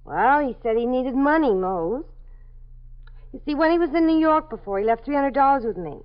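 A woman's voice: high-pitched, expressive, moderate pace, clean tone. A scared, pleading young woman. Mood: confessing. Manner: pleading, defensive, insistent. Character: frightened young woman under police questioning. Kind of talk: 1950s radio drama acting. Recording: dull old radio broadcast recording.

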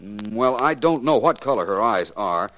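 An actor playing an urbane, polite, dryly witty private detective in 1950s radio drama style. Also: male voice, on the low side, expressive, normal speed, fairly bright tone, rough recording. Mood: courteous. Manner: polite, smooth-talking, dry.

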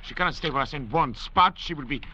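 An actor plays a haughty, calculating middle-aged doctor leading escaped convicts, speaking precisely and with command in old radio drama style. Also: male voice, a little high, lively intonation, fast, bright, forceful tone, full character voice. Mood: insistent. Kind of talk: 1950s radio drama acting. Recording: dull, noisy vintage radio recording.

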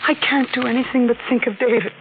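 Woman acting a high-pitched, fraught haunted widow. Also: fairly even intonation, fast, natural voice. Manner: pleading, fraught, melodramatic. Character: terrified, guilt-ridden widow haunted by dead husband. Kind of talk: 1940s radio drama acting. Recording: narrow, noisy old radio recording.

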